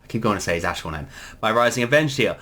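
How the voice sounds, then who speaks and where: Bright-voiced man giving casual commentary. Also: on the low side, expressive, quick pace. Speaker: series creator and commentator.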